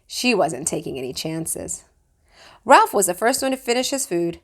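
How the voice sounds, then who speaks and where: Lady teacher doing storytime, expressive read-aloud, pitch moves around a lot. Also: moderate pace, gravelly. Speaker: teacher reading aloud to pupils. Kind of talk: children's book read-aloud.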